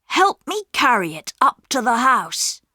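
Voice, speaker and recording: A woman voicing an excitable, stubborn young boy who speaks in a high, earnest voice. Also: unhurried, no echo, clean studio sound, full character voice. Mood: eager.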